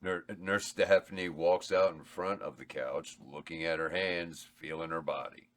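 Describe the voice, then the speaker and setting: A man reads out the stage directions at a table read. His voice is very deep, and he keeps a plain, steady delivery. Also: a little slow. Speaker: narrator reading stage directions. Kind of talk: reading a script aloud.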